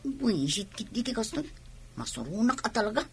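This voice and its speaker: A woman's voice: fairly high pitch, big pitch swings, moderate pace, a little hiss or hum. A woman with a Filipino accent playing an unhappy young pregnant wife, complaining and sulky, in a radio drama. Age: young adult. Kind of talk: Ilocano radio drama acting.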